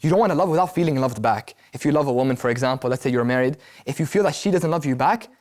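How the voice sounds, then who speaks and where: A man's voice: mid-range voice, expressive, very fast, darkish tone. A man arguing his point insistently in a group discussion. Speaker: student debater.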